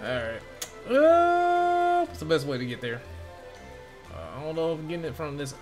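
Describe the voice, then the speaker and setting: A man's voice: fairly high pitch, huge pitch swings, moderate pace, over loud music. A man giving casual gameplay commentary, informal and slangy as he talks to viewers. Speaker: gamer playing on a stream or video.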